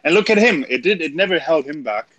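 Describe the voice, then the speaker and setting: A man from Germany with a German accent, co-hosting a casual live stream chat, relaxed and agreeable. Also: on the high side, quick pace, a little hiss or hum, poor audio.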